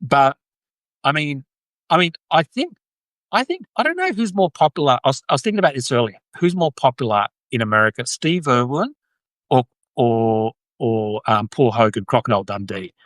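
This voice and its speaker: A man's voice: mid-range voice, lively intonation, normal speed, fairly bright tone, no echo. An Australian man hosting a live stream, chatting casually with viewers and peppering his points with "right?" and restarts. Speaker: host.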